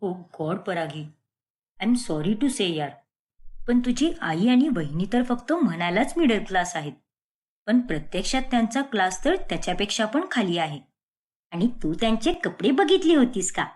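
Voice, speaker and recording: A woman voicing a snobbish, rich young woman in an animated story, lecturing others in a condescending, scornful way. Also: medium pitch, normal speed, voice pushed down, natural voice. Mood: disdainful. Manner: condescending, lecturing, fashion-obsessed.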